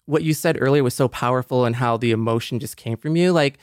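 Male co-host, medium pitch, earnest and conversational, dry close recording. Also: fast talker, dry recording. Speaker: podcast co-host. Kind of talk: casual podcast conversation.

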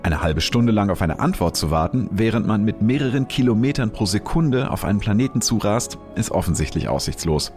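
A man narrating a science explainer in a calm, measured, explanatory way. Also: fairly low pitch, faint music behind.

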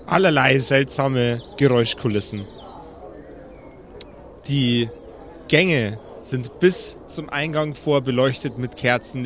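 A man narrating an improvised tabletop game as game master, deliberately and atmospherically. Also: mid-range voice, a little hiss or hum.

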